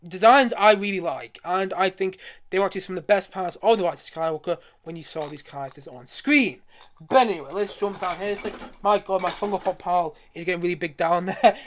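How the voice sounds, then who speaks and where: A man's voice: high voice, fairly quick. A man giving casual live stream commentary on a collection, enthusiastic, rambling and often repeating himself.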